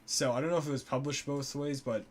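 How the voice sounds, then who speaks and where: A man's voice: mid-range voice, fairly even intonation, fast talker. A young man co-hosting a casual live-stream chat about old music, loose and joking, with many fillers. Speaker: co-host.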